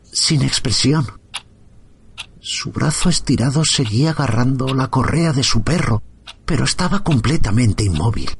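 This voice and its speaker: Deep-voiced man narrating a horror tale. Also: slightly rough. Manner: slow, hushed, suspenseful. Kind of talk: scripted horror story narration.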